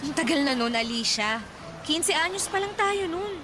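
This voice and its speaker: A sensible, slightly shy young woman in a radio drama. Her voice is fairly high, gently scolding and evasive, with clear noisy ambience behind it. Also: lively intonation, normal speed. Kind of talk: Tagalog radio drama acting.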